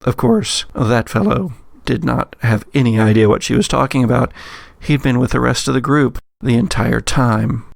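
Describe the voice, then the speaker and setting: A man telling spooky stories as a host and game master, measured, with dramatic pauses and wry asides. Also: mid-range voice, vocal fry, no room sound, studio quality. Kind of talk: narrated spooky anecdote and game narration.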